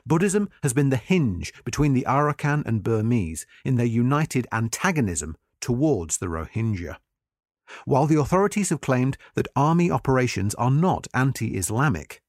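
A man reading a scripted article aloud as narrator, in a measured, formal and articulate manner. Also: medium pitch, dry recording, clean studio sound.

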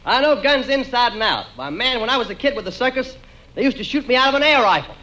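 A man's voice: very high voice, lively intonation, fast talker, bright, forceful tone, heavily acted. A man playing a skinny, teasing, wisecracking companion who likes to brag, in a 1940s radio comedy. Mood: boastful, joking. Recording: noisy vintage radio recording.

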